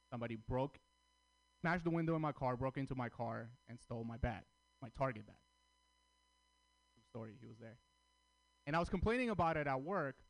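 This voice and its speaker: A man from Armenia, raised in Russia, performing a stand-up comedy set with crude, deadpan punchlines. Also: medium pitch, poor audio. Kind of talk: stand-up routine at open mic.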